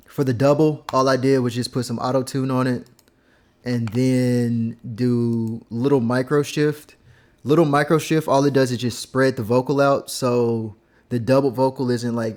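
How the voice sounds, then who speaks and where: A young man casually explaining how he mixes his vocals, rambling and filling pauses with "like" and "um". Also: medium pitch, darkish tone. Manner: laid-back, rambling, heavy use of "like" and "um". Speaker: music producer teaching vocal mixing. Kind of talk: casual screen-recorded tutorial.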